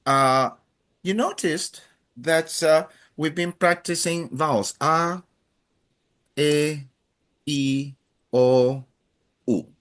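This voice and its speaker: A man's voice: on the high side, drawn-out words, long pauses, fairly bright tone. A man from Chile with a Chilean accent, a native Spanish speaker, teaching a language class in an encouraging, playful way with many fillers.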